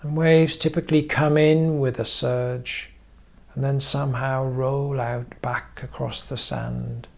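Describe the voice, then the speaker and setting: Male meditation guide, very creaky mid-pitched voice, unhurried gentle instruction with frequent pauses. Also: flat delivery. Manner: measured, pausing often between short phrases. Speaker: therapist leading guided meditation.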